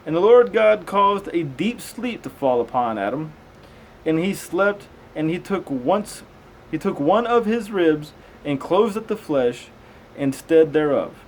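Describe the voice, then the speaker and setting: A man's voice: fairly high pitch, expressive, unhurried, slight background noise, rough recording. A man reading scripture aloud, stumbling over unfamiliar words and dropping in casual, conversational comments.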